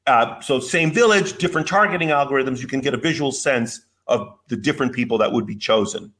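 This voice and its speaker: An older man giving a keynote lecture over slides from a home office on a video call, measured and explanatory, with some fillers and restarts. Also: mid-range voice, fairly bright tone. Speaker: keynote speaker. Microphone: video call webcam.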